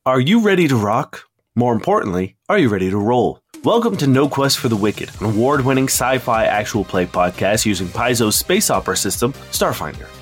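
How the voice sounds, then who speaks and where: A man narrates a scripted podcast promo in dramatic trailer style. His medium-pitched voice moves in lively swings over faint music. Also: fairly quick, husky. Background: light music.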